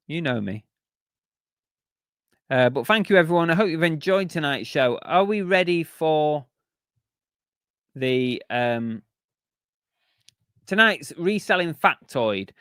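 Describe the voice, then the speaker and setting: A man's voice: a little high, animated, takes it slowly, long pauses, a bit bright, no echo, clean studio sound. Chatty man, voice going. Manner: chatty, rambling, with many fillers and restarts. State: losing his voice. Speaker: live stream host. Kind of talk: casual live stream chat.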